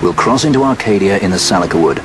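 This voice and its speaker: Self-assured rogue, low and dryly confident. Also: male voice, expressive, fast, background noise. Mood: wry. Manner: dry, wry confidence. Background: orchestral game score.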